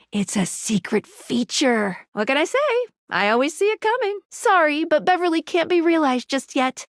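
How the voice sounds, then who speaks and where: A woman's voice: sits high, dry recording, clean studio sound, full character voice. A woman voicing a peppy, quirky female guide in a dating sim video game, with a perky, theatrical delivery. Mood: chatty, wry. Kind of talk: animated video game character voice lines.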